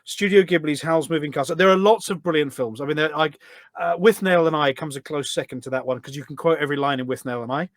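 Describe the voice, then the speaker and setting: Enthusiastic man chatting, slightly higher-pitched, dry sound. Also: fast talker, dry recording. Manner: enthusiastic, rambling explanations. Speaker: podcast guest, gaming community event organiser. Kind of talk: casual interview chat.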